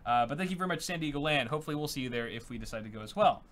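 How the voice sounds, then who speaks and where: Upbeat young man, bright voice. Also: medium pitch, expressive, racing. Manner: upbeat, reads messages aloud with filler words. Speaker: tech show co-host. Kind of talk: casual livestream chat reading donations. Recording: faint background noise.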